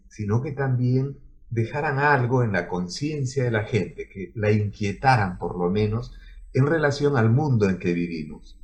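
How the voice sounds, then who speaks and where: Guy in his 70s, lowish voice, easygoing storytelling. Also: darkish tone. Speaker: cartoonist guest. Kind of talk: personal storytelling.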